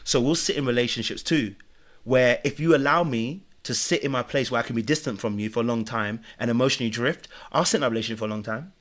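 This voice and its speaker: A man's voice: medium pitch, quick pace, fairly bright tone. A man giving earnest, preachy relationship advice as a guest on a podcast chat. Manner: earnest, preachy, speaks in lessons.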